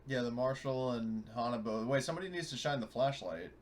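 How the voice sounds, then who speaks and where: A man's voice: medium pitch, a little fast, a crowd in the background. A man taking part as a player in a tabletop roleplaying game, chatting casually.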